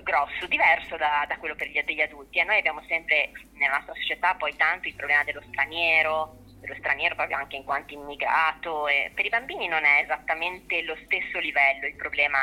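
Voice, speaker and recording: A woman's voice: fairly low pitch, bright, forceful tone, poor audio. A woman giving reflective, explanatory interview answers, repeating phrases as she works through her thought. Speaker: theatre artist being interviewed.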